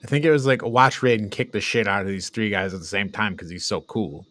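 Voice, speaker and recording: A man's voice: fairly low pitch, brisk, a bit bright. A man co-hosting a review show, chatting casually and conversationally. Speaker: podcast co-host.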